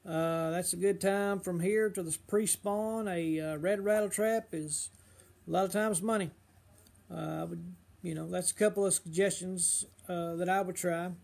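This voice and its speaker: A middle-aged man, slightly hoarse, chatting casually on a fishing livestream and giving advice with lots of uh and um. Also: high voice, fairly even intonation, normal speed, darkish tone. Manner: rambling, full of uh and um fillers.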